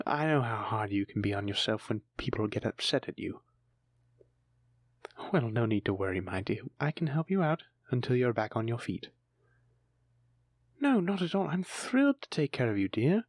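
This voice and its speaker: A man's voice: mid-range voice, no echo, clean studio sound, natural voice. A man playing a bashful, bookish dragon lover in a romantic fantasy audio roleplay, stammering tenderly as he speaks to his sweetheart. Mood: sympathetic, reassuring.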